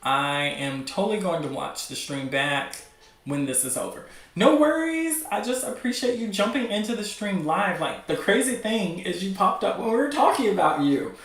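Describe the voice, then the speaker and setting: A man hosting a casual livestream, talking in a gushing, excitable, rambling way peppered with "like". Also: high voice, moderate pace. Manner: gushing, excitable fan enthusiasm; rambling, heavy use of "like". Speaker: livestream host. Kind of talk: casual livestream chat about webcomics. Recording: echoey room, distant from mic.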